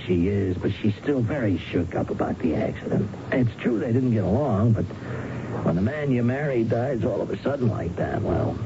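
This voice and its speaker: An actor playing an evasive, bereaved American man in a radio drama, explaining himself in a halting way. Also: fairly low pitch, expressive. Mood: uneasy explaining. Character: evasive bereaved brother living on a ranch. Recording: dull, noisy 1970s radio broadcast recording.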